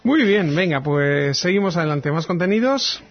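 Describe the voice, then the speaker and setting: A man's voice: a little high, lively intonation, moderate pace, lo-fi sound, music in the background. A man hosting a radio show, giving short prompts and replies to his co-host in casual talk. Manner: brief prompts and back-channel replies.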